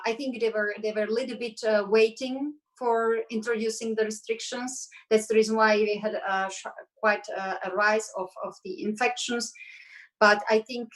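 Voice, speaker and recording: Czech-born woman, earnest and halting. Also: medium pitch, rough recording. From Czech Republic. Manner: earnest, hesitant with many fillers. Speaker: panel guest. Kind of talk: online panel discussion. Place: Belgium.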